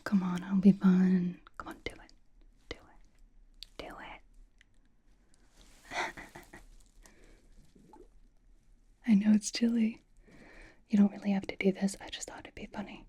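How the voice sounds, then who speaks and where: A woman's voice: medium pitch, fairly even intonation, unhurried, pauses often, dark tone. A woman playing a playful, teasing young female K-pop idol in an ASMR roleplay, whispering casual, reassuring skincare pampering. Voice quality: breathy whisper. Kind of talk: ASMR roleplay, soft personal-attention whispering.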